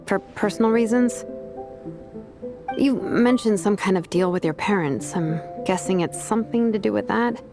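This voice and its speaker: A woman voicing a perceptive, protective big-sister figure in a video game, frank, measured and reassuring. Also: mid-range voice, lightly acted. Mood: probing, concerned. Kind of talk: scripted video game voice acting.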